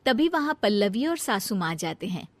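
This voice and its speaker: A woman's voice: mid-range voice, big pitch swings, normal speed, dry recording, studio quality. A woman narrator reading scripted family-story narration.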